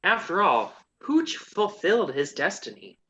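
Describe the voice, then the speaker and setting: A woman's voice: fairly low pitch, very animated, measured pace, a bit bright, muffled recording. A woman narrating a comedic radio play in a sassy, theatrical manner.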